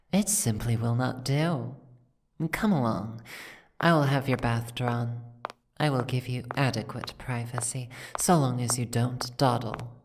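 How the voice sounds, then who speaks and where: A woman playing an imperious, seductive dragon aristocrat in an ASMR roleplay, with languid, commanding, formal speech and a breathy, gravelly voice. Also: extremely low pitch, a little mellow, full character voice. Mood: fussy, commanding. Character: imperious seductive female dragon, possessive aristocratic mansion owner. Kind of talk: ASMR roleplay, scripted second-person acting.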